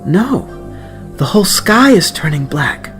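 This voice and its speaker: Storybook boy, aged ten, played by male narrator: high, animated, anxious and baffled. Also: measured pace, faint music behind, full character voice. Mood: alarmed. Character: anxious, puzzled ten-year-old boy.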